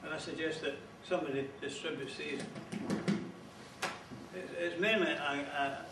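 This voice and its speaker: A man's voice: on the high side, steady tone, recorded from a distance. Scottish man speaking in a noisy echoey hall. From Scotland. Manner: measured, stumbling on repeated words. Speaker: guest speaker. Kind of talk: short talk at a meeting.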